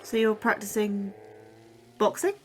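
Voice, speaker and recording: An actress playing an anxious, inquisitive young scientist who keeps pestering a coworker with questions. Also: female voice, mid-range voice, unhurried, faint music behind, close to an everyday voice.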